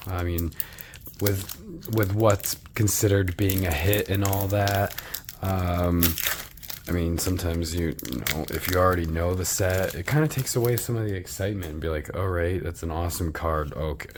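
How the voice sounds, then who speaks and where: A man's voice: low voice, steady tone, moderate pace, noisy background. A man who is losing his voice, chatting casually while opening trading card packs, rambling with many ums and restarts.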